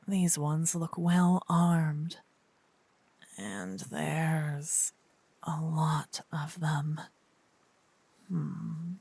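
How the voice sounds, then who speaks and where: Woman voicing a possessive demon queen, lowish breathy whisper, extremely slow theatrical delivery, doting yet wrathful, fantasy ASMR roleplay. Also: a little mellow, full character voice. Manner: slow, theatrical, archaic grand phrasing.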